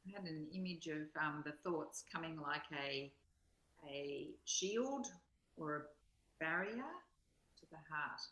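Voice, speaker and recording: A woman reflecting thoughtfully in a spiritual discussion, haltingly searching for images. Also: deep voice, expressive, pushed and bright. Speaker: study partner. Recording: roomy, echoing sound.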